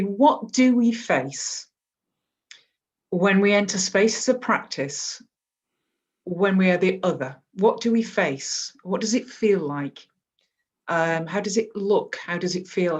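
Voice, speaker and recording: A woman hosting and moderating a discussion on a video call, gentle and hesitant, with frequent fillers. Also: on the low side. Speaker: host and moderator.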